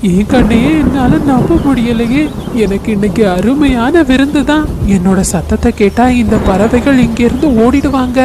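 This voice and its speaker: A woman voicing a sly younger male eagle in a cartoon, eager and scheming, recorded over loud background noise. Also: medium pitch, moderate pace, natural voice. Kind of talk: cartoon voice acting.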